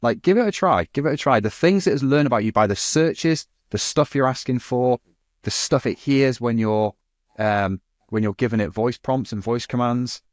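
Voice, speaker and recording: A man's voice: mid-range voice, normal speed, no room sound, clean studio sound. A man in his thirties, a podcast guest, talking fluently and casually with lots of "you know" and "kind of". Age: 30s. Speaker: agency guest.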